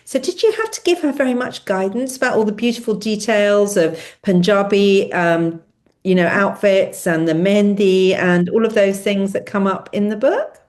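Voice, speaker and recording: Woman host, hesitant, lots of ums, everyday mid pitch. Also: darkish tone. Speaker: host of a book launch. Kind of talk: hosting an online book event.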